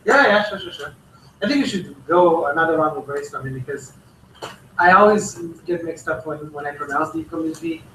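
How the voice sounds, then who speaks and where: A man's voice: fairly high pitch, animated, a little fast, bright, forceful tone. A man whose first language is Romanian, talking in a casual meeting, hesitant and trailing off with many fillers. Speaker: meeting participant. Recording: roomy, distant mic with faint noise.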